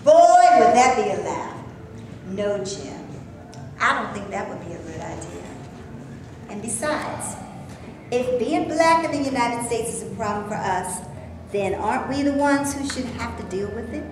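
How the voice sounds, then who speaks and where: A woman's voice: fairly high pitch, expressive, a little slow. An actress in a staged reading, playing a skeptical, practical woman who talks in a doubtful, wry way. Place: theater before a live audience. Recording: distant voice in echoey room, faint noise.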